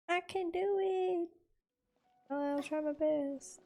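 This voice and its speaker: Mellow, playful woman game streamer. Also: high-pitched, very slow, soft background music. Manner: playful, offhand. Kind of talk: casual gameplay commentary.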